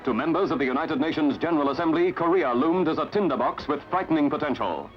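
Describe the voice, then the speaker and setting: A man's voice: on the high side, animated, fast talker, dull audio. A man reading scripted documentary narration as a newsreel narrator. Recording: band-limited newsreel soundtrack with music. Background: prominent music.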